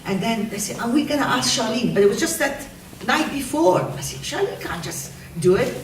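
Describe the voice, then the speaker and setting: A woman's voice: fairly high pitch, expressive, fairly quick. A woman, a French teacher, giving an award speech at a school assembly and praising a student with feeling. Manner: warm, emotional praise of a student. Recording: echoey hall, far from the mic, noisy.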